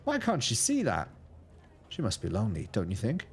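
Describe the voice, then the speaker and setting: A man's voice: on the low side, wildly swooping pitch, moderate pace, slightly rough, exaggerated voice. A man voicing a plump, kindly middle-aged greengrocer woman who gossips with a put-on accent, in a storybook reading. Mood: gossipy. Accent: a put-on regional accent. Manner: gossipy, sighing, chatty.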